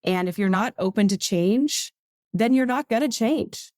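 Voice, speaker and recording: A woman's voice: a little high, expressive, a little slow, a little mellow, dry recording, studio quality. A woman guest on a podcast, speaking in a firm, matter-of-fact way. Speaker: podcast guest, government advocacy consultant. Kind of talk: casual podcast conversation.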